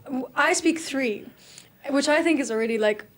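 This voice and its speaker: A woman's voice: high voice, normal speed. A young adult woman from South Africa, a guest in a casual interview, talking reflectively and chattily and laughing easily.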